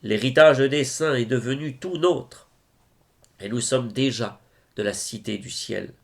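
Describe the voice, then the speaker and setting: Male reader for religious verse: solemn, reverent, unhurried delivery with a creaky, gravelly edge. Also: medium pitch. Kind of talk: solemn devotional poetry recitation.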